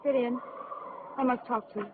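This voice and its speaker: A woman's voice: a little high, fairly even intonation, moderate pace, close to an everyday voice. An actress playing a troubled, wistful married woman in a vintage radio detective drama, earnest and restrained. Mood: guarded, polite. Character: troubled, wistful married woman, mysterious film-noir client. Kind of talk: 1940s-style radio drama acting. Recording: muffled, noisy old radio broadcast recording.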